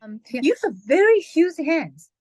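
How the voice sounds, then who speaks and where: A Japanese woman pianist interviewing a guest in English, eager and quick with short agreements. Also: high-pitched, big pitch swings. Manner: enthusiastic, lots of short affirmations. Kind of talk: casual interview chat.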